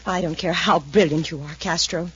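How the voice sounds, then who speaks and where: A woman's voice: fairly low pitch, fairly even intonation, moderate pace, a bit bright, slightly rough. An actress playing a defiant, anxious captive woman, scornful of her captor, in 1950s radio serial style. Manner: tense questioning, scornful. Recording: muffled 1950s radio recording.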